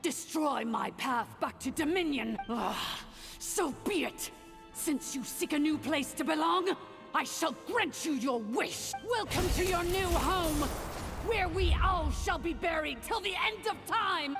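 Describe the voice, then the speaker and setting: An actress playing a vengeful, imperious ancient machine sovereign in a video game, speaking in a grandiose, contemptuous, theatrical way. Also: female voice, sits high, animated, a little slow, vocal fry, heavily acted. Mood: enraged. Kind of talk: dramatic video game voice acting. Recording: music behind the voice.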